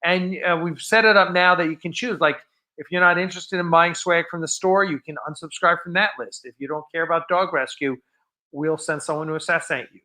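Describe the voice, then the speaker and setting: A man hosting a casual, unscripted livestream, talking in a halting, rambling way and often interrupting himself. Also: fairly high pitch, fairly even intonation, sharp tone, rough recording. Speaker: livestream host.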